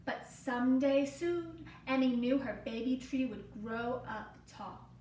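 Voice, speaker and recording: A woman teacher reading a children's storybook aloud with expressive, dramatic, deliberate phrasing. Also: high-pitched. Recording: roomy, distant mic, faint noise.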